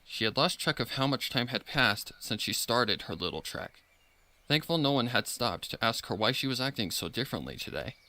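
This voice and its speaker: A man reading a story aloud in an expressive, dramatic scripted narration style. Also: medium pitch, moderate pace. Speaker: fan fiction reader.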